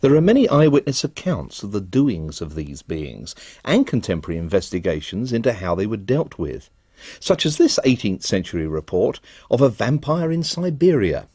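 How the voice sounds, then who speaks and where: A man presents a radio documentary in dramatic, slow-building narration. His voice is low but lively in pitch, recorded close and dry. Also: husky, no echo.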